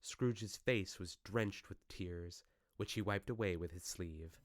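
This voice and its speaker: Very low-voiced male story narrator. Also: takes it slowly, dry recording, studio quality. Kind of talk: scripted radio play narration.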